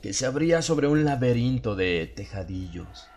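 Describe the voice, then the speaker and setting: A man's voice: mid-range voice, lively intonation, moderate pace, a little mellow, a little hiss or hum. A man narrating a dramatized horror audiobook as a solemn, brooding first-person storyteller. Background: music behind the voice.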